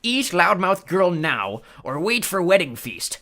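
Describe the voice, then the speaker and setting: A brutish male cyclops drags out each broken phrase in a slow, booming bellow, as a male audiobook narrator puts him on. The delivery swings widely up and down, with a bright, forceful edge. It is a monster voice from a read-aloud storybook. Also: big pitch swings, exaggerated voice. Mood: gruff brooding. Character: hulking one-eyed male cyclops, brutish.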